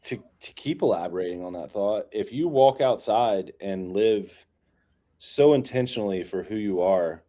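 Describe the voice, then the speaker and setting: Low-voiced man in casual conversation. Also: normal speed, dark tone. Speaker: podcast guest, real estate leader.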